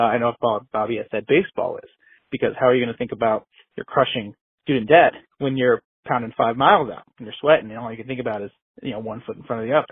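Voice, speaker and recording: A man's voice: medium pitch, slightly rough, no echo. A man leaving a wordy, jokey voicemail as a caller on a narrow phone line.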